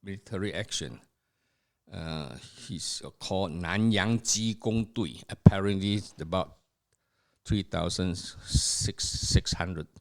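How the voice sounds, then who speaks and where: An older man from Singapore reminisces about his family's past in a podcast conversation. His voice sits very low and deep, and he talks at an unhurried, slow pace. He pauses often, restarting his sentences and filling the gaps with "uh" as he goes. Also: vocal fry. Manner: slow, halting storytelling with many fillers and restarts. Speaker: podcast guest, host's father. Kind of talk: family history interview, reminiscing.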